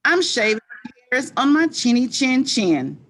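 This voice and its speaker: Rude little pig played by a woman: very high, slow, loud and defiant, storybook stage reading. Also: big pitch swings, heavily acted. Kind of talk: reader's theater storybook acting.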